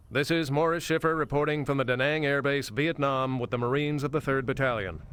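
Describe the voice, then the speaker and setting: A man's voice: mid-range voice, steady tone, moderate pace, fairly bright tone, a little hiss or hum, natural voice. A man playing a businesslike war correspondent reporting from the field in a war game.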